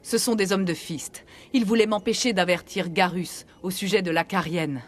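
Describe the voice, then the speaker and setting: Woman as earnest doctor, lowish voice. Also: fairly even intonation, moderate pace, lightly acted. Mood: shaken, earnest. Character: earnest female human clinic doctor, shaken but frank. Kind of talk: video game voice acting.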